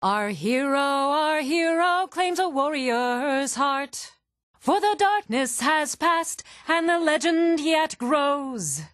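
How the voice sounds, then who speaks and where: Female tavern bard, fantasy game ballad, sung, high, very slow delivery. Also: animated, a bit bright, no echo, clean studio sound, exaggerated voice. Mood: solemn, singing. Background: plucked string instrument music.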